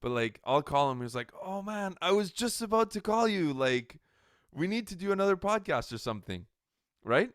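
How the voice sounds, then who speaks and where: Loud, clear-voiced chatty man. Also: high voice, normal speed, dry recording, clean studio sound. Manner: loud, animated, many filler likes. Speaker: podcast co-host. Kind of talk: casual chat. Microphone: quality podcast microphone.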